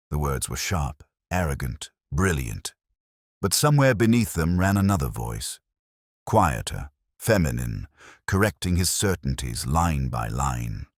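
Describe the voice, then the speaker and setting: An extremely deep-voiced, melancholy detective played by a man narrates his own mystery story. His measured, literary delivery rises and falls widely as he broods. The sound is close and dry. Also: no echo, full character voice. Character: melancholy, reflective male police detective telling his story. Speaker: narrator in first person.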